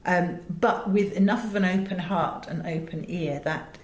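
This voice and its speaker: A woman's voice: on the low side, a little slow, darkish tone. A woman with an English accent, talking in an interview as a director, explaining her craft in an articulate way. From England. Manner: articulate, illustrates points with acted examples. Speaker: voice director and audiobook producer. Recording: roomy, echoey sound.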